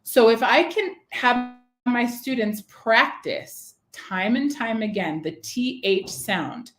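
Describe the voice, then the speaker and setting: A woman giving a live online training for teachers, engaging the audience with questions and frequent "right?" check-ins. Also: fairly high pitch. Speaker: teacher trainer presenting a webinar. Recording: roomy, echoey webinar audio.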